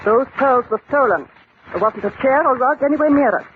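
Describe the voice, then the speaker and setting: Bossy noblewoman, theatrical, animated delivery, tinny noisy radio recording. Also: high-pitched, a little fast. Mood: insistent. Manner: haughty, theatrical, commanding. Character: imperious, fussy aristocratic lady, indignant and bossy. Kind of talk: 1940s radio acting.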